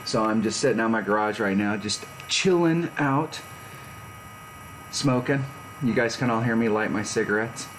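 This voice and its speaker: A man's voice: fairly low pitch, moderate pace, a bit bright, slight background noise, poor audio. A man in his fifties chatting casually and rambling on a live stream from his garage. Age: 50s. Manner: rambling, laid-back, self-deprecating. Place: home garage.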